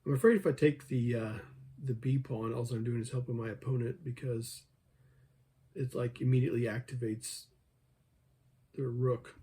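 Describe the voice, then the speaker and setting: A man who is losing his voice, hoarse, thinking aloud over an online chess game and joking with himself as he plays. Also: mid-range voice, fairly even intonation, normal speed, pauses often, dark tone. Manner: mutters his thoughts, talks to himself, jokes self-deprecatingly. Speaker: chess video creator.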